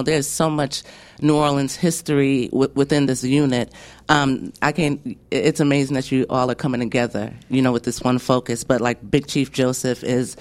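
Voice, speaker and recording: A woman hosting a radio music show, chatty and enthusiastic as she talks with her guests. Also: sits low. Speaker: radio host. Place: radio studio.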